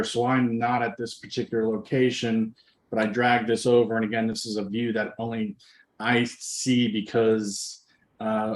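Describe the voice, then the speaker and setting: A man hosting an informal webinar demonstration, explaining things step by step in a conversational way. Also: medium pitch. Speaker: webinar host and software demonstrator. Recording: roomy, echoing microphone sound.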